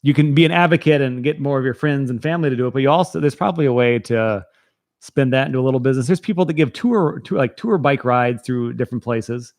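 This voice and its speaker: A man's voice: mid-range voice, fast, a little mellow, no room sound, studio quality. A man hosting a casual interview, talking in earnest and encouraging, with long rambling sentences. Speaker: podcast host.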